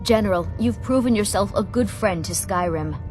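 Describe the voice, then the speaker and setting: A woman's voice: mid-range voice, normal speed, a little mellow, soft background music, close to an everyday voice. Woman acting as dignified, formal fantasy noblewoman. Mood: cold, dignified. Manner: formal, stately. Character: dignified, cold young noblewoman ruler in a fantasy game. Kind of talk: fantasy video game voice acting.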